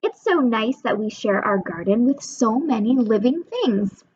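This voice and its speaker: Lady doing a gentle sweet tulip flower girl, slow storybook read, dull sound. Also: fairly high pitch, expressive, breathy edge, no echo.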